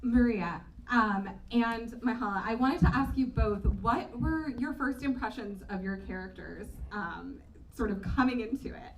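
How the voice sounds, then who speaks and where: High-voiced woman moderating a live Q&A. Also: a little slow, hardly any pauses. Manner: bubbly, with filler ums. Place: event venue with an audience. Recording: distant, with crowd and noise.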